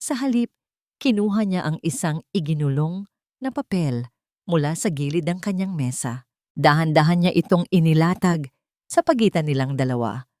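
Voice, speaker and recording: A woman tells a romantic drama in steady, scripted narration. Her voice sits low for a woman, and the recording is close and completely dry. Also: moderate pace, no echo. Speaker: storyteller narrator.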